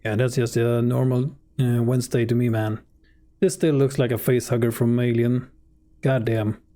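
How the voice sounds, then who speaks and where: A man's voice: medium pitch, normal speed. A man whose first language is Swedish, joking and reacting casually while streaming a game. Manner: relaxed and joking, with frequent fillers. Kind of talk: casual live gameplay commentary.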